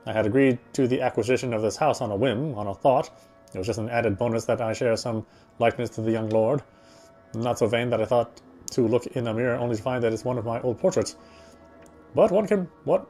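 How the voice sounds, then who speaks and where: A man reading visual novel narration aloud in a dramatic, reflective way with deliberate phrasing. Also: medium pitch, mellow. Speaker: game streamer reading aloud.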